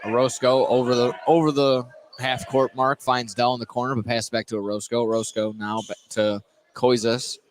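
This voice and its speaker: A man's voice: medium pitch, level tone, normal speed. A man doing live play-by-play radio commentary of a basketball game from inside a gymnasium. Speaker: play-by-play sports announcer. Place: indoor gymnasium during a game. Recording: noisy gym broadcast, bouncing ball. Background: game noise, basketball bouncing.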